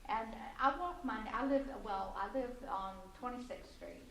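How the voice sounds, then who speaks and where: A woman with a loud, gravelly voice speaks up at a council meeting, stopping and restarting her sentences often. She sounds set back from the mic in an echoey community room with faint noise underneath. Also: on the high side, distant mic. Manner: halting, many fillers and restarts, light laughs. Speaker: resident giving public comment. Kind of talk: public comment at a city council meeting.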